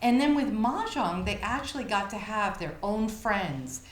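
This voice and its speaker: A woman's voice: mid-range voice, expressive, a little slow. A woman explaining things in an interview. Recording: echoey room, faint noise.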